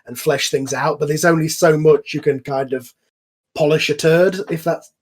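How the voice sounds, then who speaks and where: A man's voice: fairly high pitch, fairly even intonation, moderate pace. A man hosting a casual online group chat, explaining things at length in an enthusiastic, informal, rambling way. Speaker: host and series creator. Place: online voice call.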